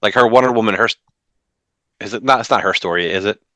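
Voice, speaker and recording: A man in his forties co-hosting a comics podcast from home over a video call, animated with joking asides. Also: fairly low pitch, quick pace, no echo, clean studio sound. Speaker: podcast co-host. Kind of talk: casual chat about comics news.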